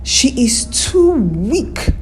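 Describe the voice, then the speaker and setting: A woman's voice: fairly high pitch, very animated, very slow, a little hiss or hum, pitched above the performer's own voice, exaggerated voice. A woman storyteller voicing scornful, judgmental village women in a folktale, with a stern, disapproving manner.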